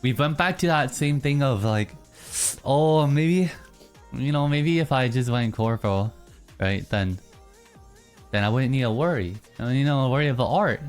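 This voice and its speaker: A streamer in casual live-stream chatter, teasing chat and answering in mock outrage between low, mumbled musings. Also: lively intonation, moderate pace, faint music behind. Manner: reacts to chat, teasing, mock-indignant bursts.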